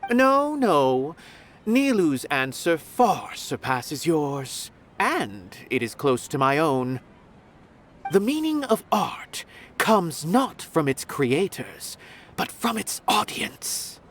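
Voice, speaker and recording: An actor voicing a pompous, theatrical art critic in a video game, speaking in grand, florid phrases. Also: male voice, fairly high pitch, sing-song, slow, a bit bright, slight background noise, full character voice. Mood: musing. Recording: game soundtrack music behind.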